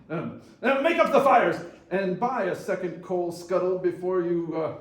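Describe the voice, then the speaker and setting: A man playing an old reformed miser, excitable and theatrical in a readers theater performance. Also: sits high, unhurried. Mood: jovial. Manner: excitable, full of chuckles, theatrical. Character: old Scrooge, male miser newly reborn, giddy and generous. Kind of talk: readers theater stage reading. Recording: echoey room.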